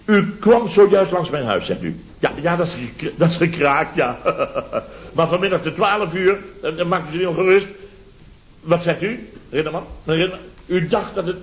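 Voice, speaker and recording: An actor playing a pompous middle-aged building boss in a radio comedy, blustering indignantly and repeating himself. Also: male voice, a little high, lively intonation, normal speed. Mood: flustered. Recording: dull, lo-fi old radio recording.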